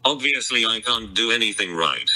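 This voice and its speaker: A text-to-speech male voice giving flat, terse replies in a phone call. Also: fairly low pitch, normal speed.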